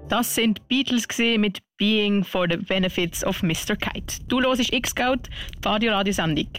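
A woman's voice: mid-range voice, normal speed, a bit bright. A woman presenting a music and story show as radio host.

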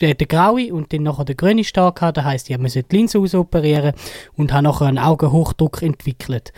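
A man's voice: on the high side, a little mellow, no echo, clean studio sound. A twenty-year-old man with an eastern Swiss German accent, talking casually as an interview guest. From Herisau, eastern Switzerland. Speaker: interview guest, para ski racer.

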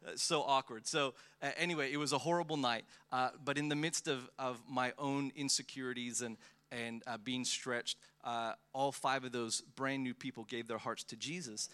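A man in his thirties preaching in a bright, clear voice. He tells stories about himself with easy humor, and stumbles through many fillers and restarts. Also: medium pitch, steady tone, moderate pace. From born in the Philippines, raised in Australia. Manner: self-deprecating, joking, many fillers and restarts. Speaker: pastor. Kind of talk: guest sermon, personal storytelling.

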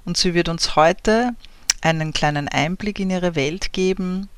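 A woman's voice: lowish voice, measured pace, clear, resonant tone, dry recording. A woman hosting an interview who gives a friendly welcome and backs her guest with frequent murmurs of agreement. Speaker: interview host.

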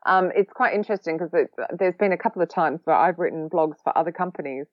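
A woman with an Australian accent, a podcast guest talking casually, quick-witted and a bit sarcastic. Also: on the low side, no room sound, muffled recording. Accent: Australian, long lived in London. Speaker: podcast guest, social recruiting trainer.

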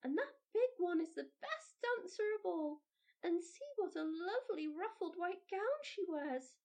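Lady doing a wide eyed little girl from a storybook, really high voice, super animated and excitable. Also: measured pace, heavily acted. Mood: amazed, delighted. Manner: exclaiming, breathless with wonder. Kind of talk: storybook character reading.

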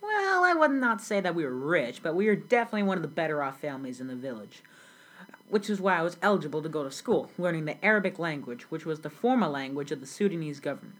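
A teenage girl plays a grown immigrant looking back on a village childhood, speaking low for her age with a husky, breathy edge. The telling is earnest and steady, read from a script. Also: fast, heavily acted. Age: young teen. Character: grown immigrant recalling a South Sudan childhood. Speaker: student playing the interviewee. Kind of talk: school project role-play interview.

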